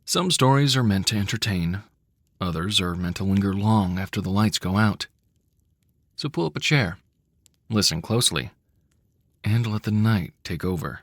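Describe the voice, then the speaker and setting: Low-voiced man narrating a solemn story. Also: animated, dry recording, clean studio sound. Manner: measured, solemn, hushed. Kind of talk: cinematic, dramatic scripted story narration.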